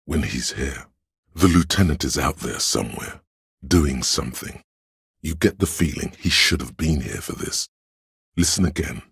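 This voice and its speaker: A man playing a solemn, brotherly inner voice of police instinct in a video game, narrating in a measured, brooding, literary way with a gravelly, husky tone. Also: very deep voice, animated, a bit bright, dry recording, clean studio sound, full character voice. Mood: brooding, hushed. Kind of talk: scripted second-person game narration.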